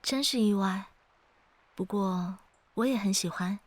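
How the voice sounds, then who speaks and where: A woman playing an ageless, gentle and wistful ferrywoman of souls in an audio drama, speaking in a reflective, poetic way with a quiet teasing edge. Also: mid-range voice, unhurried, no room sound, lightly acted. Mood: tender, solemn. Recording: studio voice over background music.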